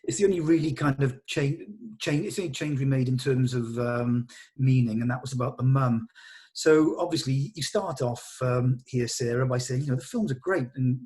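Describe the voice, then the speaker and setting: A man's voice: mid-range voice, moderate pace, darkish tone. A man directing a read-through, giving actors encouraging notes in conversation and often rephrasing as he goes.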